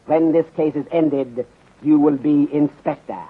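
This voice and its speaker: A thin, band-limited old radio drama recording carries a shrewd, courteous detective. The voice is husky and a little high. He speaks in measured, formal phrases that drop small words. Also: steady tone. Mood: courteous, instructing. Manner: measured, formal, clipped phrasing without articles. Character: courteous, shrewd Chinese detective inspector with aphorisms. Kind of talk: 1930s radio acting. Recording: muffled phone-like 1930s radio recording.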